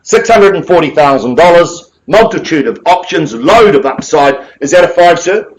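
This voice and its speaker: Male auctioneer, New Zealand accent, higher-pitched, booming, rhythmic bid-calling patter. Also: animated, normal speed, low-quality recording. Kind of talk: live property auction calling. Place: auction room with bidders present.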